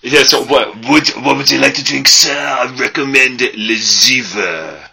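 A man voicing a joking Argentinian waiter with a put-on Argentinian accent, slow and teasing. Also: medium pitch, creaky, sharp tone, full character voice.

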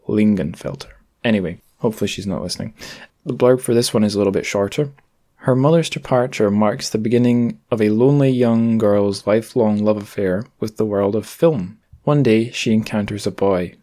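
A man's voice: lowish voice, warm tone. A man hosting a podcast in a casual, chatty way, with wry, self-deprecating asides. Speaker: podcast host.

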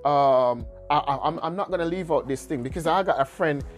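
A man with a Jamaican accent telling stories in a relaxed way during a casual chat, often repeating his phrases. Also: a little high, brisk, soft background music. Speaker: guest.

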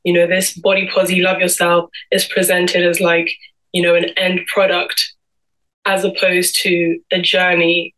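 A young woman in her early twenties speaks with a London accent. Her voice sits a little low and stays quite flat in melody. She talks in a reflective, personal vlog style, often pausing to find the next phrase. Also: low-quality recording. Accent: London English. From London, England. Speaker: guest speaker giving a personal talk to camera.

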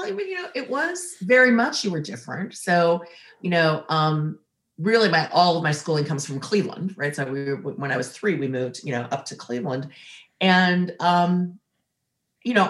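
A woman's voice: low-pitched, echoey room. A woman in her sixties from Ohio, a former litigator, reflecting on her past in an interview, with many fillers and restarts. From Ohio, USA. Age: 60s.

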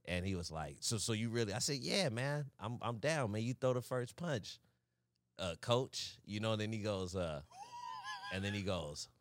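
A young man from Fresno co-hosting a podcast, telling animated stories and breaking into laughs and shouts at the punchlines. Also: on the low side, normal speed, no room sound. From Fresno, California. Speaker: podcast co-host. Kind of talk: casual storytelling chat.

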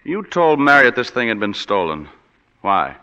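An actor playing a wisecracking, hard-boiled private detective with dry, clipped narration, in 1940s radio acting. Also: male voice, mid-range voice, big pitch swings, moderate pace, sharp tone, clear, resonant tone. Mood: accusing. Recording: dull reverberant 1940s radio broadcast.

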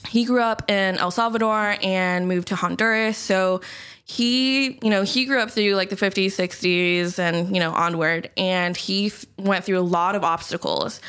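A woman's voice: mid-range voice, fairly bright tone, smooth. Laughing, chatty young woman co-host. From United States. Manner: laughs often, uses fillers like "like" and "you know". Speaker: podcast co-host. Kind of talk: casual chat.

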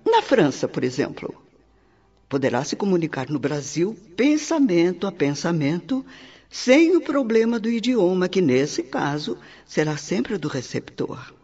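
Casting: solemn spirit teacher for radio drama, Brazilian accent, highish pitch, sweeping intonation, preacherly emphasis. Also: male voice, darkish tone, breathy edge, heavily acted. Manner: didactic, emphatic, oratorical with rhetorical questions. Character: earnest male spirit mentor lecturing on divine laws. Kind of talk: theatrical Portuguese radio drama monologue. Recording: slightly dull radio production with music. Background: music.

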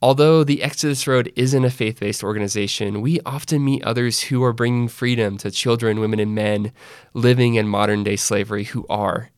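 A man hosting a podcast interview, reading a measured scripted intro and then asking conversational questions. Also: medium pitch, fairly even intonation, clear, resonant tone, dry recording, studio quality. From Northwest Arkansas. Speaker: podcast host.

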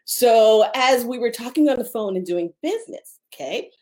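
A woman's voice: a little high, lively intonation, normal speed, a little mellow. A woman from Long Island, New York, a theater teacher, talking as a guest in a chatty way, laughing easily and saying um often. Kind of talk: casual interview talk.

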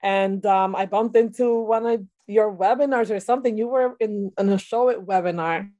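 A woman with a Dominican accent, a podcast guest who tells her story in a lively, giggly way, full of fillers. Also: mid-range voice, moderate pace, no room sound, muffled recording. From Dominican Republic. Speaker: guest, boudoir photographer. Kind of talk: conversational interview.

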